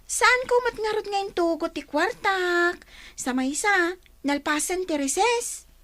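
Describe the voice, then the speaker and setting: Woman acting a very high-pitched sensible little girl. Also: animated, takes it slowly, a bit bright, exaggerated voice. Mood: chiding. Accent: Ilocano. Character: sensible, thrifty young daughter who scolds her twin. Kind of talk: Ilocano radio comedy drama acting.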